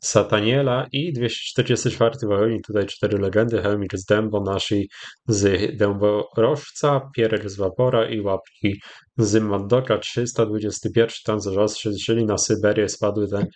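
A Polish man hosting a gaming channel, giving casual, rambling commentary with hesitant, drawn-out pauses. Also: on the low side, fairly even intonation, a little mellow. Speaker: YouTube gaming host. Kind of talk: casual commentary over gameplay.

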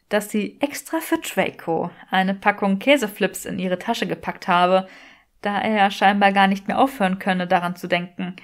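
A woman reading scripted fiction as an audiobook narrator. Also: medium pitch. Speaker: audiobook reader.

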